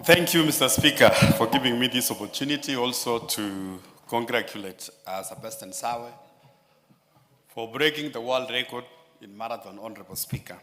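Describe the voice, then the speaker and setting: A man addressing parliament in a floor speech, often turning to the Speaker and pausing on fillers. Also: mid-range voice, fairly bright tone. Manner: addresses the Speaker repeatedly, with many filler pauses. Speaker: member of parliament. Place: large parliamentary chamber. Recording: chamber echo with long reverb.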